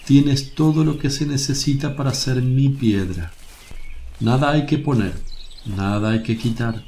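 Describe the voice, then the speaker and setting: A man acting a serene, affectionate master teacher in a religious radio drama, gentle, solemn and reassuring. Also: mid-range voice, a little slow, lightly acted. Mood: affectionate, encouraging. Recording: noisy, birdsong ambience behind.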